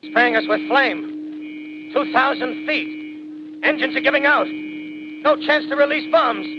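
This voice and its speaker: A clipped army bomber pilot over roaring engines. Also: male voice, very high voice, takes it slowly. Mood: desperate. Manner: clipped military radio report. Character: male army bomber pilot lieutenant reporting by radio. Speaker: bomber squadron commander. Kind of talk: 1930s radio drama acting. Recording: dull old radio broadcast with loud engine noise.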